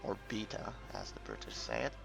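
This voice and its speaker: A man's voice: rumbling low, wildly swooping pitch, fairly quick, vocal fry. A man from the UK giving a casual, unscripted game walkthrough, rambling and hesitant as he thinks aloud. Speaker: gamer making a game tutorial. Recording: muffled, dull recording with background music.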